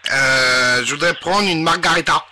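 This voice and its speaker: Guy doing webradio prank show banter, high voice, really sharp and bright, slangy and crude, excitable. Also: normal speed, slight background noise. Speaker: webradio host and prank caller. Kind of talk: informal live radio prank-call show banter. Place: webradio studio.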